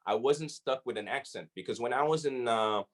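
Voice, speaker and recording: A laid-back man talking easily as a guest, mid-pitched, with a loose, slangy flow. He drops in a few Arabic phrases as he goes. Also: a little fast. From Philippines, Saudi Arabia and Syria. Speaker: hip hop artist guest. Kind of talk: casual interview chat.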